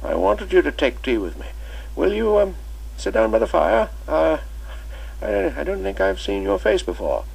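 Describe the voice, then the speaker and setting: A kindly elderly schoolmaster, pitched well above the reader's own voice and gravelly in tone, as a man puts him on. He talks in a hesitant, genial way, scattering ums and uhs, with a lively rise and fall. Storybook reading. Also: moderate pace, full character voice, low-quality recording. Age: older.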